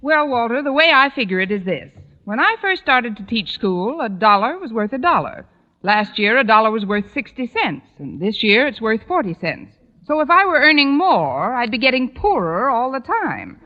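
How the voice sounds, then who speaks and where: An actress playing a wry, wisecracking high school teacher with dry, sardonic delivery in a 1940s radio comedy. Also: female voice, big pitch swings. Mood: deadpan. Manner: dry, sardonic, quick with a quip. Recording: dull, noisy 1940s radio recording.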